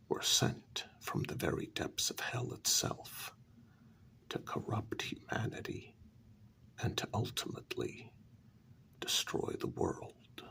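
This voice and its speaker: A grave, troubled male asylum staffer acted by a man recounts a dark case in an ASMR role-play. His soft voice sits low and crackles with heavy fry, often slipping into a half-whisper. He speaks in short, deliberate phrases with long pauses. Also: heavily acted. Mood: troubled, hushed.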